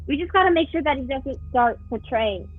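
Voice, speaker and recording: Lively woman player, muffled lo-fi sound. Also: sits high, fairly quick, faint music behind. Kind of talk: casual tabletop game chat. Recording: dull lo-fi sound.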